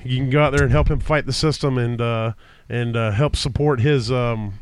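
A man's voice: mid-range voice, fairly even intonation, moderate pace, clear, resonant tone, dry recording. A man with a slightly stuffy nose from a cold, hosting a casual podcast from a home basement, rambling with many fillers and restarts. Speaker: podcast host. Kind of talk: casual chat. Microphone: podcast microphone.

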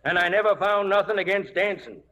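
An actor playing an excitable frontier town elder, speechifying in homespun style in a 1940s radio western. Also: male voice, high voice, a little fast. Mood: proud, jovial. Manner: homespun oratory, rustic grammar. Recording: dull old radio recording, music.

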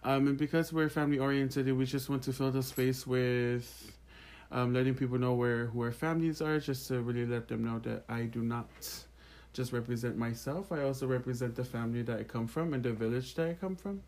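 A young man from American Samoa speaks as an interview guest at an average male pitch. He explains himself earnestly, giving reflective, personal answers in a conversational way. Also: steady tone, fairly quick, a little mellow. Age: young adult.

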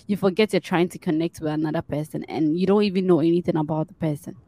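A woman's voice: deep voice, steady tone, quick pace, mellow. A woman chatting casually as a podcast guest, earnest and full of "like" and "you know.". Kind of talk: casual conversational podcast chat.

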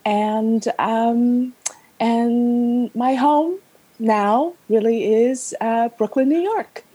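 A woman's voice: a little high, very slow, dark tone, breathy edge. A woman in her fifties from Vietnam, Vietnamese her first language, answering a remote interview thoughtfully with many fillers. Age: 50s. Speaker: guest, novelist.

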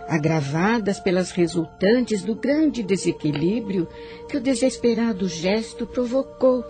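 A narrator of a radio serial speaking in a grave, measured, preachy way. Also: mid-range voice, expressive, lightly acted. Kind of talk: solemn scripted narration. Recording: music behind the voice. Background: music.

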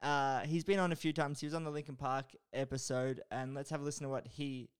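Smooth-voiced podcast co-host. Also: male voice, mid-range voice, steady tone, brisk. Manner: sheepish, self-deprecating storytelling. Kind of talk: casual chat.

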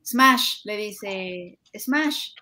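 A woman, a podcast co-host who jumps in with quick quips. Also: mid-range voice, animated, a crowd in the background. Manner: quick quips, laughing asides. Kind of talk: casual chat. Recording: clear background noise.

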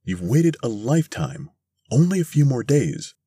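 Slippery storybook showman, voiced by a male narrator. Placating, theatrical patter, lifted pitch with some rasp. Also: very animated, normal speed, dark tone. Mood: evasive, soothing. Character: slippery, placating showman stalling his angry customers.

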